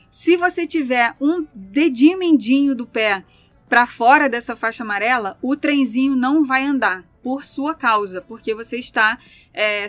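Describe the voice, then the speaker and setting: Brazilian woman, high voice, dry sound, casual explaining, listing examples. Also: a bit bright, no room sound. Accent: Brazilian Portuguese. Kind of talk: casual chat.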